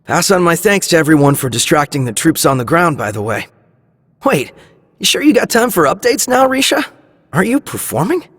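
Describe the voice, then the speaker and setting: An actor playing an earnest, resolute young male squad leader in a video game cutscene, with a husky voice. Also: on the high side, very animated, moderate pace, a bit bright, long reverb tail, full character voice. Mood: grateful, friendly.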